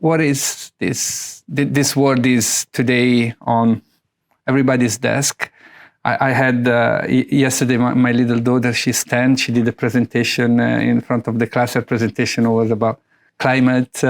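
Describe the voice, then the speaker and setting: A middle-aged man acts as interviewer, asking questions in a conversational style. His voice sits at a medium pitch. He phrases things hesitantly, often searching for the next word.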